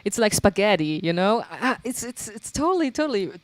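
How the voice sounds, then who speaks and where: A woman's voice: mid-range voice, big pitch swings, a little fast, no echo. A young woman from Germany with a German accent, chatting casually with her livestream viewers, laughing often and using lots of fillers. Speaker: livestream host and guitarist. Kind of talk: casual chat answering viewer questions.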